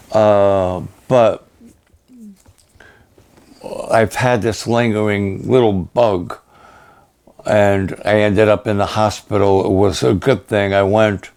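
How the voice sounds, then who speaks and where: An older male pastor with a raspy, hoarse voice, talking plainly and haltingly to his supporters. He often pauses and repeats himself. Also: lowish voice, a little mellow. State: hoarse after illness and a long program. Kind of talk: slow personal update to supporters.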